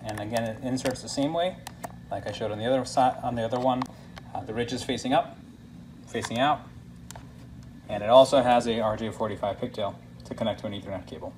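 A man's voice: medium pitch, a little fast, long pauses, darkish tone, rough recording. A man narrating a casual hands-on product tutorial as a demonstrator, explaining things step by step in an instructional way. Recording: clear background noise, room ambience.

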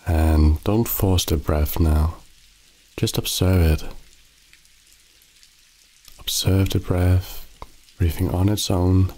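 A man guiding a sleep meditation in a slow, soothing, calm voice. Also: deep voice, poor audio. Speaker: meditation guide. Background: rain sounds.

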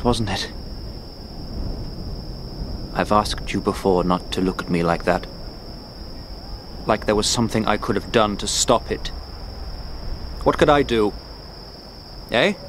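A man's voice: fairly low pitch, a bit bright, slight background noise. A man playing a haunted, wistful knight in a radio drama, muttering reflectively to himself. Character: haunted, wistful knight brooding alone at night. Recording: faint outdoor cricket ambience.

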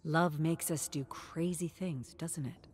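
A woman voicing an earnest female storyteller who addresses her audience in a theatrical, probing, deliberate way. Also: deep voice, steady tone, a little mellow. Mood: intense, probing.

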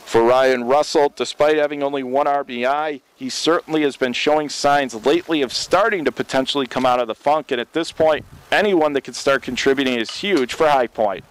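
A man doing radio play-by-play for a college baseball game, steady and measured, rising sharply when a play breaks open. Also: mid-range voice, smooth, low-quality recording.

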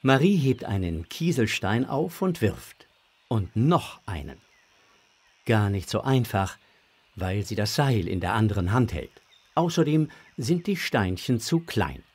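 A man's voice: lowish voice, sing-song, a little slow, a little mellow, breathy edge. A man narrating a children's audio drama from a script.

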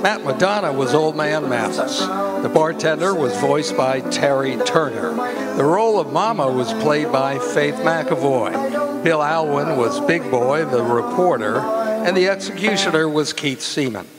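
Male announcer, slightly high pitch, scripted credits read, loud music behind. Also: very animated, unhurried. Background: loud instrumental music.

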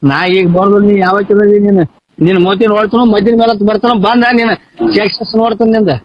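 Man, North Karnataka accent, extremely high voice, quarrelsome, stumbling repetitions, muffled phone recording. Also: flat delivery, fast talker. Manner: argumentative, stammering repeats. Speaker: prank call participant. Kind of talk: heated phone argument in village dialect. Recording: dull lo-fi phone call recording.

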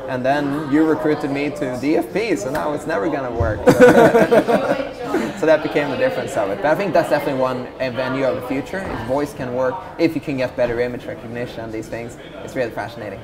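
A man's voice: medium pitch, expressive, a crowd in the background. A man in his thirties from Sweden with a Swedish accent, a startup founder speaking enthusiastically in a business interview on a lapel mic in an office lounge. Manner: fast, upbeat and enthusiastic.

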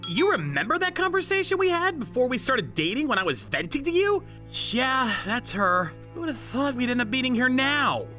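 A man's voice: very high-pitched, sing-song, normal speed, music in the background. A man playing an earnest, easily flustered young groom who narrates and speaks in a manga dub. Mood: surprised.

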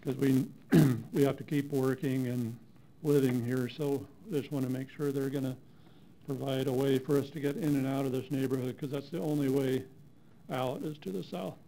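A man's voice: mid-range voice, fairly even intonation, normal speed, creaky, warm tone, slight background noise, rough recording. A middle-aged man, a resident giving casual public comment at a podium microphone in a council chamber. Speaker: resident speaking at a public hearing. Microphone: gooseneck podium microphone.

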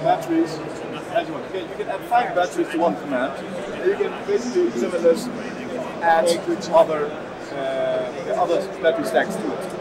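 A man's voice: on the high side, animated, over a noisy crowd. A man in his thirties demonstrating a product at a trade stand in a large exhibition hall, often restarting his words. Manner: halting, many repeated word restarts. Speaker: company representative. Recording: loud exhibition hall noise.

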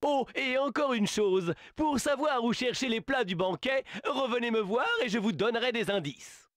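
A man's voice: very high voice, moderate pace, fairly bright tone, no echo, clean studio sound. An actor voicing a fussy, theatrical male animal who hands out tasks in a game, with a grandiose, condescending manner. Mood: instructive. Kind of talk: video game dubbing.

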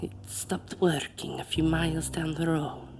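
An actress playing an eerie old woman who is a spirit medium, speaking slowly and ominously in an audio drama. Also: sits low, steady tone, vocal fry, darkish tone, a little hiss or hum. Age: older.

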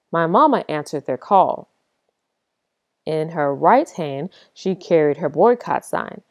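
Lively woman reading a picture book. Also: deep voice, dry recording. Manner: lively, expressive storytelling with dramatic pauses. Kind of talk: expressive storybook reading.

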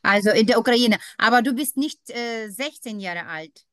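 A woman's voice: on the high side, normal speed, dry recording. A woman teaching a beginner German class over a video call, patient and encouraging with her students. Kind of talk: online language class.

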